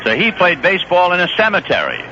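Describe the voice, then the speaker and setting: Lively 1970s radio baseball announcer, a man. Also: fast talker, noisy background. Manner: relaxed, conversational storytelling between pitches. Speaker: play-by-play announcer. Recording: dull lo-fi 1970s radio broadcast.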